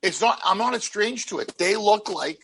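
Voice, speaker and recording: High, gravelly-voiced Canadian man hosting. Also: animated, moderate pace, fairly bright tone, a little hiss or hum. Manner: eager questioner who repeats his points. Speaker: interview host. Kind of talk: casual interview chat.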